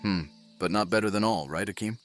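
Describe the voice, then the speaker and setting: Man acting a low-voiced gruff commander. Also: fairly quick, soft background music, lightly acted. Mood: wry, weary. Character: male commander, gruff, wry, fatherly leader of men. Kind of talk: fantasy audio drama acting.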